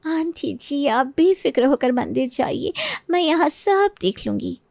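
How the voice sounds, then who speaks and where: Entitled young wife for cartoon fable: high voice, lazy, breezy, complaining manner. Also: female voice, animated, unhurried, vocal fry, a little mellow, no echo, full character voice. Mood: agreeable. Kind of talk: Hindi animated moral story acting.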